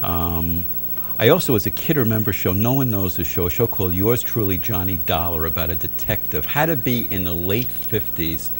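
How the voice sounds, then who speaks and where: Laid-back guy hosting an interview, kinda low voice, bit of background hum. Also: lively intonation. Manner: easygoing, jokey, quick to laugh along. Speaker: show host, interviewer. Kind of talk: casual interview chat.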